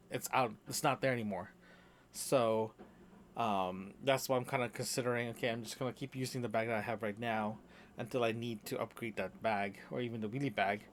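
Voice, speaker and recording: Male podcast host, mid-pitched voice, loose rambling audio-diary delivery, lots of restarts. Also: slight background noise. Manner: rambling, many restarts and fillers. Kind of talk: casual solo audio diary. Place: apartment room.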